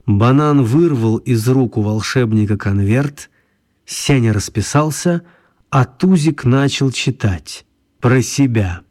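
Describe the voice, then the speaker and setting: A man narrating a radio play for children in a wry, storytelling manner, with a husky voice. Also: medium pitch, lively intonation, slow pace, darkish tone.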